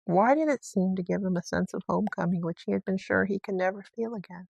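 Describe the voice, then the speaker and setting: A woman's voice: mid-range voice, fast talker, gravelly, a little mellow, slightly rough, no room sound. A woman narrating a classic storybook as a bedtime read-aloud. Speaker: story reader.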